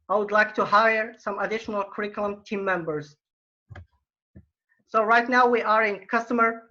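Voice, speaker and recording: A young man from Morocco, giving an earnest startup pitch over a video call and often restarting his phrases. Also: sharp tone. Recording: muffled video-call audio.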